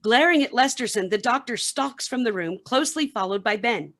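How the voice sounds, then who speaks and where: A woman narrates a radio play from a script. Her voice is a little higher than average as she describes the action and sets each scene. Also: expressive, fairly quick, fairly bright tone.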